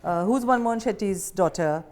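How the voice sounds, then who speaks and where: A woman's voice: mid-range voice, moderate pace, darkish tone. A middle-aged woman with an Indian accent asking questions in a conversational interview.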